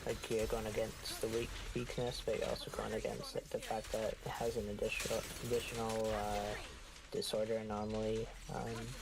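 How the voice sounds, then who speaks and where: A man's voice: lowish voice, monotone, moderate pace, creaky, noisy background. A man in a casual gaming chat, explaining things in a hesitant way. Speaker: co-commentator giving game advice.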